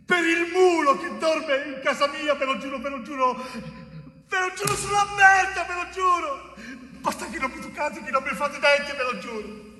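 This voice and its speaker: A man's voice: extremely high pitch, normal speed, pushed and bright. An actor playing a terrified young bricklayer in a stage drama, frantic and pleading, stammering out repetitions as he gives in. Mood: desperate, pleading. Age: young adult. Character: terrified young bricklayer forced into a false confession. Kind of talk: theatrical stage monologue acting. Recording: echoey theatre hall from far off, music behind.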